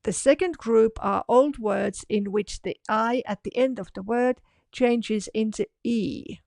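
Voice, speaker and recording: A woman narrates a scripted language lesson, saying each example word twice, slowly and deliberately. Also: a little high, gravelly, a little mellow, no echo. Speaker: language teacher.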